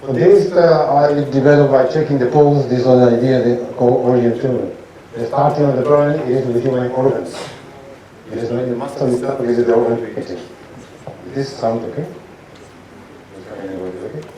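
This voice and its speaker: A man gives an informal lecture as a doctor, speaking haltingly and asking his audience questions. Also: medium pitch, muffled recording. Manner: halting, restarts sentences, asks audience rhetorical questions. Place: lecture hall. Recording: echoey hall, from a distance, faint noise.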